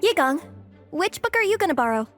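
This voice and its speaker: An actress playing a spirited, feisty young book fan in an anime game, excitable and teasing. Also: female voice, very high voice, brisk, soft background music, exaggerated voice. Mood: chatty.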